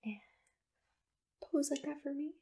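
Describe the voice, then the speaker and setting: A woman whispering breathily in a soft ASMR roleplay, with a gentle, reassuring, attentive manner, as if giving personal attention to a client. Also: high-pitched, unhurried. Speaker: ASMR roleplay host as a monster matchmaker.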